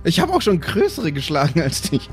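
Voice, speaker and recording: A man's voice: fairly high pitch, huge pitch swings, brisk. A man casually chatting and joking as a player in a tabletop role-playing game. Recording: music playing behind the voice. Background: background music.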